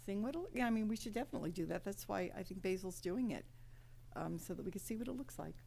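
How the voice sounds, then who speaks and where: A woman's voice: medium pitch, lively intonation, quick pace, creaky, warm tone. A woman talking casually as a committee member in a meeting discussion. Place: town committee meeting room. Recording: noisy meeting room recording.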